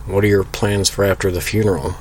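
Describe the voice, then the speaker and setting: A man playing a deep-voiced paternal family friend. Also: fairly even intonation, a little fast, a little hiss or hum, close to an everyday voice. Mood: gentle. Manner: soft-spoken and careful. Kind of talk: audio drama acting.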